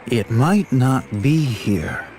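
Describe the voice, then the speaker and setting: A man acting a learned, composed video game figure who explains ancient lore in a formal, grave and measured way. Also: medium pitch, sing-song, darkish tone, slight background noise. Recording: music behind the voice.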